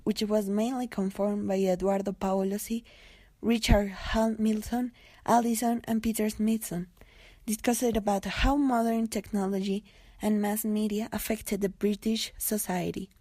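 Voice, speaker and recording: A very dry recording of a woman narrating a newsreel. Her tone is dark and mellow at a middling pitch, as she reads a scripted documentary text. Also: no echo.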